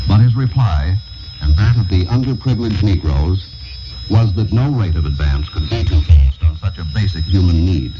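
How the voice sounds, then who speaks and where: A man reading a solemn, measured, formal editorial in the style of a 1960s radio broadcast, over shortwave. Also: deep voice, muffled recording. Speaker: radio commentator and announcer. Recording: noisy, band-limited 1960s shortwave radio recording. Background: loud shortwave static and noise.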